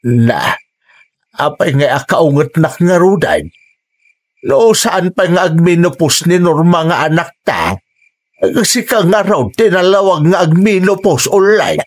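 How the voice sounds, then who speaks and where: A talkative, bumbling Ilocano husband in a comic radio drama scene. He drawls very slowly in a husky voice with big swings of pitch, forever on the defensive. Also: expressive. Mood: flustered defensive.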